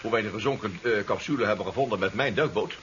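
An actor playing a modest inventor, chatty and hesitant with a slight stammering delivery, in a 1970s Dutch radio drama. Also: male voice, lowish voice, fast talker, fairly bright tone, close to an everyday voice. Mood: hesitant, uneasy. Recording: dull, noisy 1970s radio recording.

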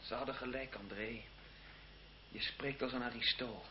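An actor playing an idealistic, earnest young French seminarist and reformer in a radio drama, speaking in a declamatory way. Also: male voice, mid-range voice, steady tone, normal speed, lightly acted. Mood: indignant. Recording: muffled, hissy old radio drama recording.

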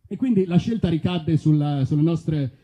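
A man from Italy performing live stand-up, telling stories in a hesitant, deadpan way on a muffled stage recording. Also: on the high side, normal speed. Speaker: stand-up comedian. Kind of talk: live stand-up comedy monologue. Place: festival stage outdoors among olive trees.

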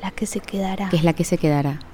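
A woman playing a defiant, mournful brick chimney, reciting in measured, incantatory phrases for a choral radio drama. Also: on the low side, a loud crowd, close to an everyday voice.

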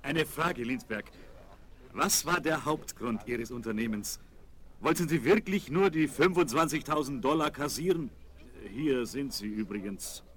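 A man's voice: mid-range voice, expressive, a little slow, lightly acted. An actor playing a genial, direct businessman in a German children's radio play. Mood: probing. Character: genial, direct businessman greeting a young hero. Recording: faintly noisy vinyl record transfer.

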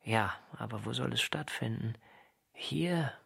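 A man's voice: medium pitch, measured pace, creaky, fairly bright tone, husky, full character voice. An actor playing a sardonic, brooding male novelist, talking in a wry, literary way in a radio drama. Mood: deliberating. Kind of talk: radio drama acting and narration.

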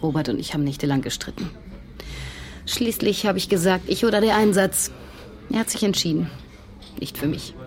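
A woman's voice: on the low side, expressive, moderate pace, long pauses, darkish tone. An actress playing a grieving, direct young woman who questions people in a naturalistic radio drama. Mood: sad, angry.

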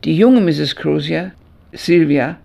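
An aged woman narrating her memories in a radio drama. Her voice is heavily creaky and husky, and she speaks in a measured, reflective way. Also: deep voice, warm tone, heavily acted. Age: older, over 70. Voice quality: gravelly, husky. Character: aged woman recalling her teenage summer job.

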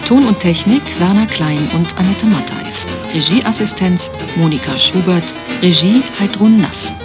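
A woman's voice: fairly low pitch, unhurried. A woman radio announcer reading scripted credits in German public radio style. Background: music.

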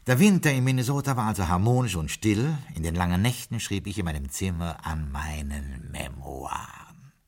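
An actor playing a dignified butler who narrates his memoirs in a 1950s radio crime play, formal and measured. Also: low-pitched, animated, darkish tone. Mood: wry.

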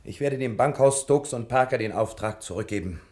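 An actor playing a brisk, exasperated detective in a 1960 German radio crime drama, speaking in an emphatic, clipped way. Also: male voice, mid-range voice, darkish tone, lightly acted. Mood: resigned, emphatic.

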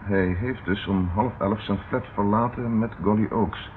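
An actor playing a stern, impatient police inspector in a radio play, speaking in a dry, businesslike way. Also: male voice, on the low side, fairly even intonation, measured pace, close to an everyday voice. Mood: brisk, pondering. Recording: muffled, noisy old radio recording.

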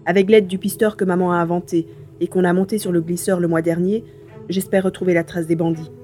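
A woman playing a determined, curious young hero in a science fiction audio drama, expressive and thinking aloud. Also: on the low side, fairly quick, faint music behind, lightly acted.

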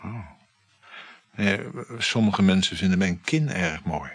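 Radio play male lover: self-regarding, wheedling, murmured delivery, deep breathy voice. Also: slow pace, no room sound, exaggerated voice. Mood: coaxing, vain. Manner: murmuring, coaxing, often dropping to whispers. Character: vain married male lover, fishing for flattery. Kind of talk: intimate radio play dialogue.

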